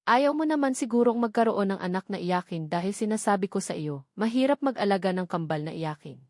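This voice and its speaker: A woman voicing a caring, frank middle-aged aunt in a novel reading, gently giving advice. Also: medium pitch, expressive, fairly quick, no room sound, clean studio sound, close to an everyday voice. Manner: gentle, advising.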